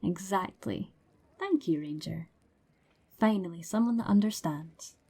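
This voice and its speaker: A woman's voice: mid-range voice, unhurried, creaky, dark tone, husky. A woman playing a blunt, dry huntress goddess in an ASMR roleplay audio drama. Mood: dryly exasperated. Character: blunt, dry Norse huntress goddess, enthusiastic about weapons.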